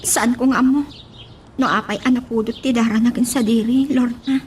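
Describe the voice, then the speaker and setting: Lady playing high voiced young daughter in love, stubborn, emotional, audio drama with a bit of noise. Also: steady tone, normal speed, darkish tone, close to an everyday voice. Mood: troubled, resentful. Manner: emotional, defiant. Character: headstrong, lovesick young daughter of a mayor.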